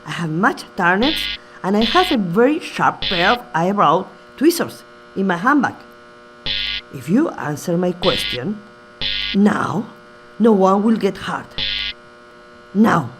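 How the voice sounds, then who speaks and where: A woman playing a sharp-tongued, deadpan and menacing village woman in a scripted comedy radio play. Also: medium pitch, slow pace, long pauses, over music. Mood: suspicious, threatening. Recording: noisy, with clicks and mains hum.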